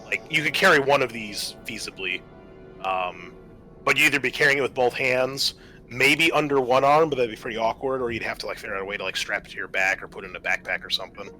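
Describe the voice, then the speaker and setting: A man's voice: mid-range voice, quick pace, pushed and bright, faint music behind, poor audio. A man acting as game master, improvising the narration of a tabletop game.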